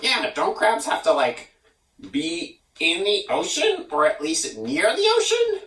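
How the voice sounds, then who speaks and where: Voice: mid-range voice, huge pitch swings, a little slow, fairly bright tone, recorded from a distance. A person casually commenting on a story in a slangy, laid-back way. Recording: echoey room, away from the mic.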